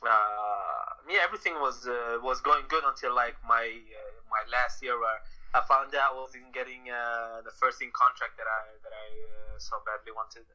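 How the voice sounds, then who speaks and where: Bright-voiced man on a muffled line. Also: mid-range voice, normal speed. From Sweden. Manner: hesitant, lots of uhs and restarts. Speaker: podcast guest, professional soccer player. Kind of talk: casual phone interview.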